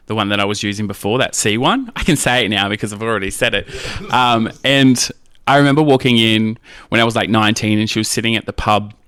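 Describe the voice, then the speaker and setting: Australian man, crisp forceful voice, cheeky storyteller, casual podcast chat, close dry sound. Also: on the low side, dry recording. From Australia, rural Queensland. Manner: cheeky, animated storytelling with quoted dialogue. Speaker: podcast guest, drag queen. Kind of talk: casual candid anecdotal chat.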